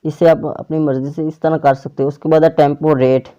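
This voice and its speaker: A man's voice: on the high side, level tone, brisk, warm tone, smooth, muffled recording. A man hosting a casual tech tutorial, explaining an app step by step to viewers. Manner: step-by-step instructions addressed to viewers.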